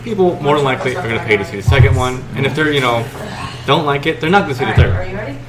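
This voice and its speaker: A man in a casual chat talks quickly, working through his thoughts with hedges. His voice sits at a middle pitch. A loud crowd murmurs behind him. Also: lively intonation, low-quality recording. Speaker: podcast co-host.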